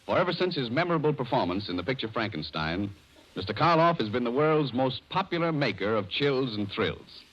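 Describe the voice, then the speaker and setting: Formal, very animated male radio announcer. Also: medium pitch, brisk. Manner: formal, dramatic build-up. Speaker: announcer introducing a radio drama. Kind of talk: 1940s radio announcing, scripted. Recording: muffled vintage radio recording.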